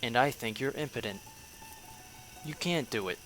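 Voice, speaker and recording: A man's voice: mid-range voice, moderate pace, over music, natural voice, poor audio. A man playing a sardonic, defiant soldier in a fan audio drama, with a dry, terse, sarcastic delivery. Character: sardonic, defiant male soldier, a shaman's son.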